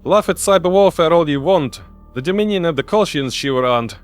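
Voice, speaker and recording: A man's voice: on the high side, lively intonation, a little fast, faint music behind, pitched above the performer's own voice, lightly acted. A man voicing an opinionated young human crew member who argues colloquially in a science fiction audio story. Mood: defensive, explaining. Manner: argumentative, colloquial.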